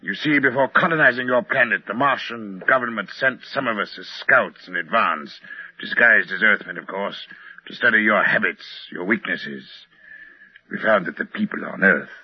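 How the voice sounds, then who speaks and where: An actor playing a polite, condescending Martian invader disguised as a human, in mid-century radio drama style. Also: male voice, fairly low pitch, moderate pace, husky, dry recording. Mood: smug, measured. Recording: muffled old radio broadcast recording.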